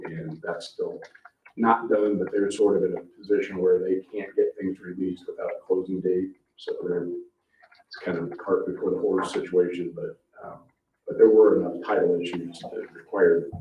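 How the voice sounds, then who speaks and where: An American man chairing a public meeting, talking informally through business items in a meeting room. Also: sits low. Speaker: commission chair running a meeting. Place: indoor town meeting room. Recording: roomy, distant mic, dull, faint hiss.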